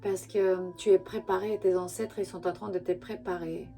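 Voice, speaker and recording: A woman who is not a native French speaker gives an intuitive tarot reading straight to the listener, halting as she searches for words. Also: lowish voice, fairly even intonation, creaky, warm tone, faint music behind. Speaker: spiritual tarot reader and channel host.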